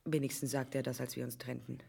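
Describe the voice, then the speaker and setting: A woman's voice: rumbling low, level tone, quick pace, creaky, a little mellow, husky, heavily acted. Dry, hard-boiled female private eye. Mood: wry, bitter. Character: tough sardonic female private detective narrating her case. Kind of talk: radio drama dialogue and first-person narration.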